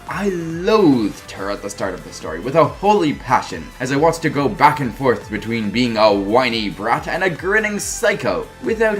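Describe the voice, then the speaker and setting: Reviewer narration, lively swooping pitch, informal and wry delivery, music underneath. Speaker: video game reviewer.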